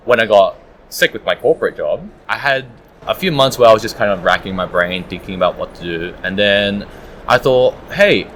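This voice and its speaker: Interview guest, male, low voice, conversational, some background room noise. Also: a bit bright, low-quality recording. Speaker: audiobook producer, interview guest.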